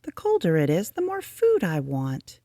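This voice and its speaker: A woman voicing an earnest, forgetful young male rabbit in an animated storybook manner. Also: normal speed, no echo, studio quality, heavily acted. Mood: grumbling. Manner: high, excitable, animated storybook voice.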